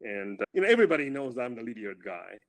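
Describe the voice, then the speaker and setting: A male running coach speaking in a casual interview, with a loud voice, often repeating words as he starts his sentences. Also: mid-range voice, sing-song, quick pace.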